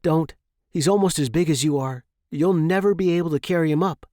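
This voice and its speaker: A man voicing a flustered, earnest, kindly gentleman explorer in a storybook, exclaiming with old-fashioned politeness. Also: fairly high pitch, a little fast, no room sound, studio quality, pitched above the performer's own voice, natural voice. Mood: urgent. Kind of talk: storybook character dialogue.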